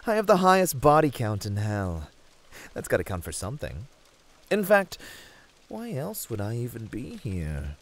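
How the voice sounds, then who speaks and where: Swaggering demon , male actor: British, boastful and teasing, very animated mid-range voice, flirty roleplay audio. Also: mid-range voice, moderate pace. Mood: cocky.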